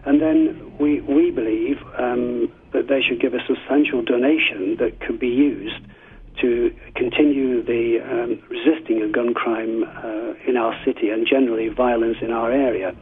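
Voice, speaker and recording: A man, a senior clergyman, giving a measured, polite, hesitant media interview in a husky voice. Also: medium pitch, steady tone. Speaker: clergyman, subdean. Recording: dull, lo-fi radio interview.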